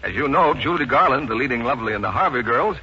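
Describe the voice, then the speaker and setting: Animated 1940s radio announcer, a man. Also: mid-range voice, quick pace, a little hiss or hum. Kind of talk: 1940s scripted radio advertising. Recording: dull 1940s radio broadcast recording.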